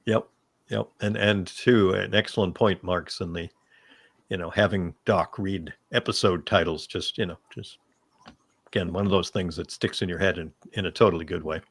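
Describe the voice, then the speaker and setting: A man's voice: low voice, steady tone, normal speed, slightly rough. A man hosting a casual panel discussion, speaking conversationally. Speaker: panel host.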